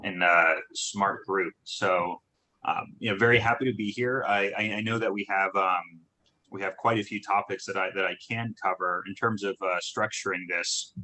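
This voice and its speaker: Low-ish guy, sharp bright tone, keeps restarting and saying um and you know. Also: steady tone, slight background noise, poor audio. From Southern California. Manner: halting, many restarts and fillers. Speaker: startup founder. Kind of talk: conversational talk on a group call.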